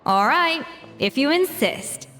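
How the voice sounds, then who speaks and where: A woman's voice: sits high, lively intonation, slow, a bit bright, faint music behind, full character voice. A woman playing a self-assured, formal master chef and mentor in a video game. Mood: proud. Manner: composed, confident, formal.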